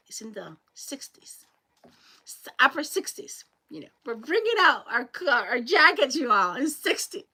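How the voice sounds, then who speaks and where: A woman from Colombia with a Colombian Spanish accent, hosting a live crafting stream and chatting with viewers as they join. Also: fairly high pitch. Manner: chatty, greets viewers by name, warm thanks. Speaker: crafting livestream host.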